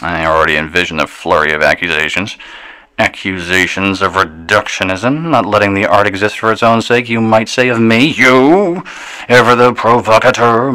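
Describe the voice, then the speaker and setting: An actor playing an eccentric, provocative radio DJ in a radio drama, rambling wryly and verbosely through a theatrical live opera broadcast. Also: male voice, fairly low pitch, pushed and bright, poor audio. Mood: indignant, theatrical. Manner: verbose, wry, dramatic, drawn-out musing.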